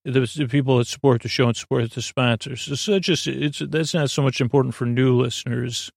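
A man with a creaky voice hosting a sleep podcast, meandering haltingly through a goofy, self-deprecating bedtime monologue. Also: medium pitch, fairly even intonation, no echo, studio quality. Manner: meandering, halting, trails off and repeats himself. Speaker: podcast host. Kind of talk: rambling bedtime monologue for falling asleep.

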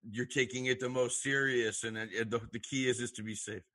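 A man's voice: medium pitch, fairly even intonation, fairly quick, pushed and bright. A man hosting a podcast interview, asking long, friendly questions. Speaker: host.

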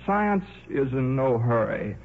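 Absent-minded middle-aged male scientist, single-minded, halting, fussy; 1950s radio drama with thin vintage sound. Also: mid-range voice, very animated. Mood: agitated, insistent. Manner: fussy, halting, repeats himself. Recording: narrow, noisy 1950s radio recording.

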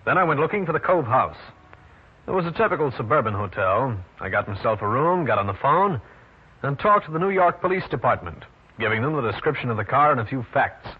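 An actor playing a tough, wry American private detective in a 1940s radio drama, clipped and dry. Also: male voice, medium pitch, sing-song, brisk, husky. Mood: dry narration. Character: tough, cool, wry New York private detective man. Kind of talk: 1940s radio detective drama, first-person narration and dialogue. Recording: muffled, noisy old radio recording.

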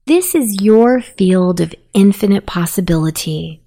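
A woman narrating a guided sleep meditation in a soothing, softly spoken, unhurried manner. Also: mid-range voice, animated.

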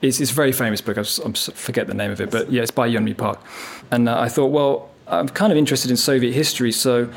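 A man in his thirties speaks in an ordinary mid-range voice as a guest in a relaxed interview. He tells long anecdotes, circles back to his point as he goes, and pokes gentle fun at himself. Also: mid-range voice, fast, creaky, few pauses. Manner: chatty, anecdotal, self-deprecating. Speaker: viola player. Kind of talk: casual interview chat.

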